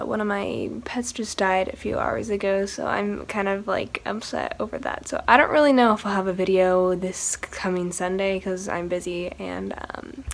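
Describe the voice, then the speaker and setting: A teenage girl chatting casually to camera about books, rambling with lots of fillers and little laughs. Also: medium pitch. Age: teens. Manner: rambling, filler-heavy, giggly. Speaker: book vlogger.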